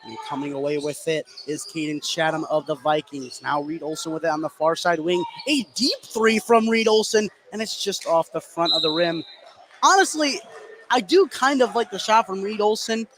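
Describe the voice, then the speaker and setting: A man's voice: a little high, normal speed, noisy background. A man calling live basketball play-by-play on the radio. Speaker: sports play-by-play announcer.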